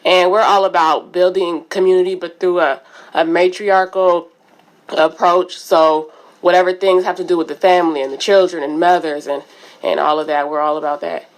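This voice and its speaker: A woman's voice: lowish voice, steady tone, normal speed, rough recording. A woman in her twenties, a teacher and community organizer, introducing herself in a composed, matter-of-fact way. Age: 20s. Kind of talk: self-introduction on radio panel.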